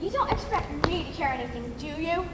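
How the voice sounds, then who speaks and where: A woman's voice: extremely high pitch, big pitch swings, quick pace. A woman playing a bossy, impatient male farmer in a children's folktale play, commanding and theatrical. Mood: fed up. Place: large hall, stage performance. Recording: echoey hall, distant mic, noise.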